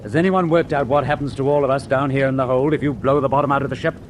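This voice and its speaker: An actor playing a level-headed British prisoner in a radio drama, probing in a colloquial way. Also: male voice, mid-range voice, steady tone, quick pace, slight background noise, close to an everyday voice. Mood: calm, probing.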